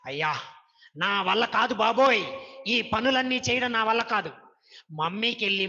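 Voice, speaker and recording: A bright, sharp-voiced little boy puppet, whining and pleading as the put-upon younger brother. It is a children's puppet show with long hall reverb. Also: mid-range voice. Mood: complaining. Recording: dull, reverberant hall sound.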